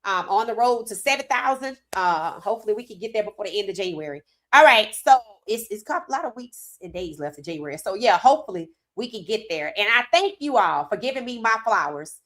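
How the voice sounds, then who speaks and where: A woman hosting a sports commentary livestream, hoarse from talking, animated and emphatic with casual slang. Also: mid-range voice, a little fast, few pauses. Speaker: host.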